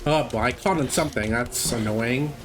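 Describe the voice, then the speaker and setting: A man giving casual live speedrun commentary, haltingly thinking aloud as he plays. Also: mid-range voice. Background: game music.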